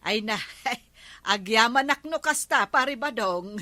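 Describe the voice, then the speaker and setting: A woman's voice: fairly high pitch, animated, slow pace, sharp tone, dry recording, full character voice. A woman playing a chummy, haggling village customer in a comedy radio drama, bantering with an Ilocano accent.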